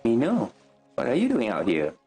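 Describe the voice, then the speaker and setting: A man streams his reactions to a game in an informal, sweary way. His voice sits at an average pitch, and game music plays faintly behind his off-the-cuff commentary. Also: huge pitch swings, normal speed, darkish tone, slightly rough. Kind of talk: casual gameplay reaction commentary.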